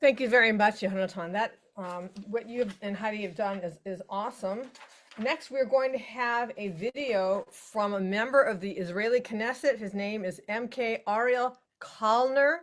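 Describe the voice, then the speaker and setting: A woman's voice: mid-range voice, normal speed, a bit bright. A woman hosting an event, thanking one speaker and reading the introduction of the next. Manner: reads introductions, occasional fillers. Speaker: event host.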